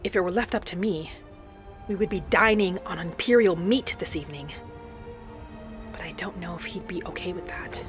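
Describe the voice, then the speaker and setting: A woman playing a gentle, earnest female wood-elf adventurer, musing aloud as she narrates a roleplay game in character. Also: on the low side, normal speed, pauses often, music in the background, close to an everyday voice. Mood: thoughtful.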